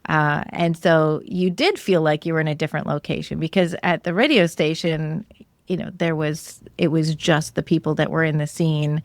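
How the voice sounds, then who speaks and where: A woman's voice: deep voice, fairly even intonation, moderate pace, no room sound. A woman hosting a podcast, chatting casually as she interviews a guest.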